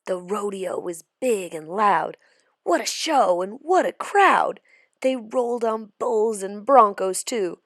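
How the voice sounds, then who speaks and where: A woman narrates a children's story in an expressive read-aloud style. Her delivery is slow with widely varied pitch, and the sound is dry, free of room echo. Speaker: storybook reader.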